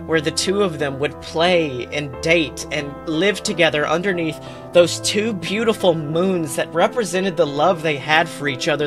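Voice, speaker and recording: Male tabletop game master, higher register, improvised dramatic storytelling over clear guitar backing. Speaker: game master, podcast host. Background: guitar music.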